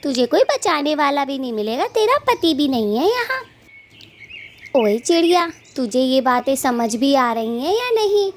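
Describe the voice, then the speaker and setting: A woman voicing a bossy, quick-tempered female bird who scolds and taunts in a children's cartoon story. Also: high-pitched, sing-song, slight background noise, pitched above the performer's own voice. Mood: threatening, angry.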